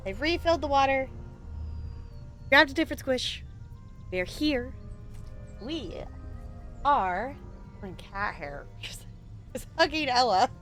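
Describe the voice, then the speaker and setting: A woman's voice: high voice, expressive, a bit bright. A woman streaming a game, chatting casually and gushing in a chatty, bubbly way over faint game music. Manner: chatty and bubbly, quick to gush. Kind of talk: casual gaming chat.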